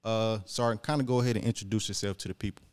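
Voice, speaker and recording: A man's voice: fairly low pitch, steady tone, very fast, a little mellow, clean tone. A man hosting a military podcast, asking questions in a loose, casual way at a desk mic in a small studio. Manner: laid-back conversational questions. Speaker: podcast host. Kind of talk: casual interview. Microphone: desk mic on boom, headphones.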